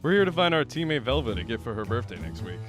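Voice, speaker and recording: A wary young student with a dry, uneasy edge, as a man plays him. His pitch moves a lot from line to line. Soft music sits under the voice. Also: very animated, fast talker. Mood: casual. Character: anxious young male student, wary boyfriend.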